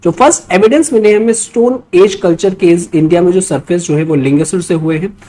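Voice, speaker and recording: An Indian woman teaches history for exam students in a mellow, soft-edged voice. She reads out questions and explains them in a mix of Hindi and English, in an instructional way with frequent little check-in tags. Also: fairly low pitch, a little fast, poor audio. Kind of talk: explanatory lecture mixing Hindi and English, reading out quiz questions.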